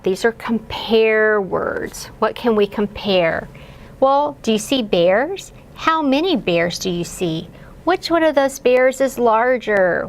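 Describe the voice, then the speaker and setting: A woman educator giving instructional guidance to parents, explaining and modeling simple questions as if for a young child. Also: a little high. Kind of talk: instructional read-aloud guidance.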